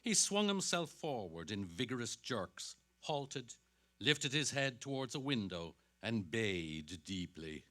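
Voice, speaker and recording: A man's voice: medium pitch, very animated, slow pace, sharp tone. A man narrating literary fiction in a dramatic reading. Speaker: actor reading aloud.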